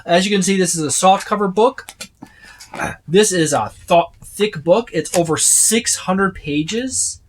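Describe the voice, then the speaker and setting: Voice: mid-range voice, unhurried, slight background noise. A video host giving a casual, unscripted overview in a rambling, explanatory way with informal asides. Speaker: host of tabletop gaming video channel.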